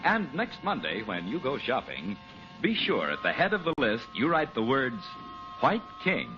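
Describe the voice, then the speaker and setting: Animated old-time radio ad man. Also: medium pitch, normal speed. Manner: emphatic, salesmanlike. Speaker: radio announcer and advertiser. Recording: muffled old radio broadcast. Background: music.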